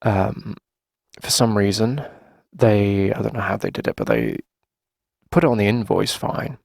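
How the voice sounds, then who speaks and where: Guy doing a friendly flustered coffee shop owner, asmr roleplay, really gravelly and creaky, close dry sound, chatty and hesitant. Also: on the low side, sing-song, measured pace, darkish tone, no room sound, full character voice. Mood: weary, exasperated. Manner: hesitant, chatty, sighing conversational delivery. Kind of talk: casual ASMR roleplay, chatty one-sided conversation.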